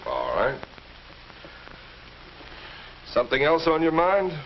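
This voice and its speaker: A middle-aged American man playing a calm, understanding father, measured and reassuring, in a 1950s educational film. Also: fairly high pitch. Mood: friendly, probing. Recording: dull, noisy old film soundtrack.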